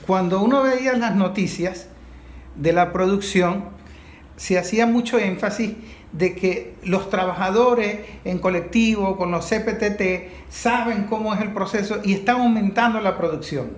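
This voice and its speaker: A man's voice: high voice, a little slow. A Venezuelan man in an unscripted discussion, making emphatic points through repetition. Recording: echoey room.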